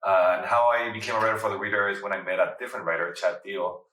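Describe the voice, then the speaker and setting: Deep-voiced Mexican-born man, roomy. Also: flat delivery, quick pace, pushed and bright. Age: 30s. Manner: chatty, many fillers and like. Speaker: photographer and writer. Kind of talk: casual interview answers. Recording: roomy, distant mic.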